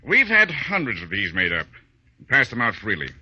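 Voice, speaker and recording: An actor playing a wealthy, curt music publisher in a 1940s radio drama, cold, sardonic and imperious. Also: male voice, fairly low pitch, wildly swooping pitch, moderate pace, sharp tone, husky, exaggerated voice. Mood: sardonic, dismissive. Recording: dull 1940s radio recording.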